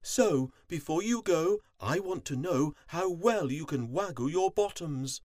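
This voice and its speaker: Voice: medium pitch, lively intonation, a little slow, a little mellow, no room sound, clean studio sound, pitched above the performer's own voice, lightly acted. A storybook narrator voicing a cross, bossy queen bee who commands with regal authority. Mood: regal, commanding.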